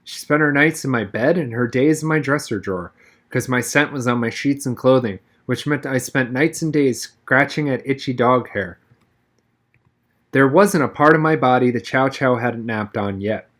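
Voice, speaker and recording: A man reading a novel aloud as an expressive narrator, with wry first-person storytelling. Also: mid-range voice, normal speed. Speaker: reader, narrator. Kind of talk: expressive audiobook-style read-aloud of a novel.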